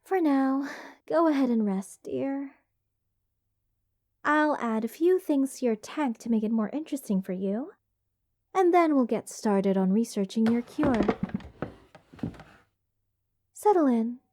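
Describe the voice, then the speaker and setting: A woman playing an eccentric, curse-loving mage in an ASMR fantasy roleplay, soft and soothing, sweetly rambling with wry endearments. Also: fairly high pitch, animated, a little slow. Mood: fond, musing.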